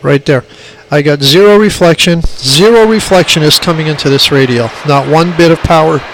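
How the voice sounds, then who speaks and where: Casting: man, slightly high voice, plainspoken hobbyist walking through gear informally, repeats key points, faint noise on a homemade phone recording. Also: normal speed, fairly bright tone. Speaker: radio hobbyist demonstrating equipment. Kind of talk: informal hands-on tutorial. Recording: clearly noisy amateur phone recording.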